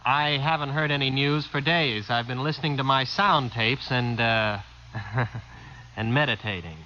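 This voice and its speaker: An actor in a 1950s radio drama playing an absent-minded, skeptical householder of the future, hesitant and muttering. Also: male voice, medium pitch, measured pace, pushed and bright. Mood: distracted. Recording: muffled, hissy vintage radio recording.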